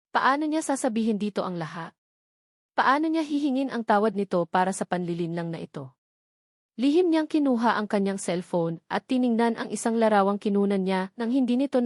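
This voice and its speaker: A woman's voice: mid-range voice, a little mellow, no echo, clean studio sound. Filipino woman narrating a dramatic love story.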